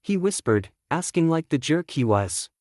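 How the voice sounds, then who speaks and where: A man's voice: fairly high pitch, very animated, normal speed, a little mellow, dry recording, studio quality. A man reading scripted first-person story narration as the author of a fantasy audiobook.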